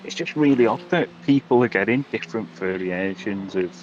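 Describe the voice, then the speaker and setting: A man's voice: lowish voice, animated, a little fast, a little hiss or hum. A man chatting casually as a guest commentator, musing in an easygoing, puzzled way. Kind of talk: casual race chat. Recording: slightly dull lo-fi call audio.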